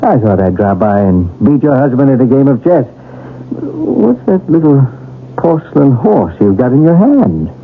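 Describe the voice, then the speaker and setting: Easygoing family friend, mid-pitched, expressive. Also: male voice, unhurried. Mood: casual. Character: easygoing, concerned adult male family friend visiting. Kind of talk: 1970s radio mystery acting. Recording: tinny, faintly noisy old radio broadcast.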